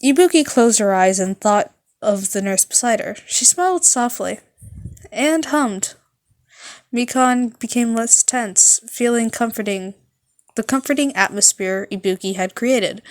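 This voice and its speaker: A woman narrating fan fiction as an amateur reader, halting at times and correcting her stumbles. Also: a little high, expressive.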